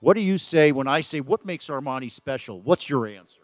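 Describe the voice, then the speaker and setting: A man's voice: mid-range voice, expressive, fairly quick, no room sound. A man hosting a business talk radio show, chatting casually with a guest and joking at his own expense. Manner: jokey, self-deprecating, chatty. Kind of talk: casual chat. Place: event venue with photographers.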